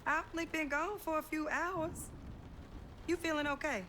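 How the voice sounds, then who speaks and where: A woman's voice: high-pitched, steady tone, moderate pace, sharp tone, slight background noise. An actress playing a practical, caring mother in a video game cutscene. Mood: calm, busy. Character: practical, caring Southern mother of a grown daughter. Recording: game music behind.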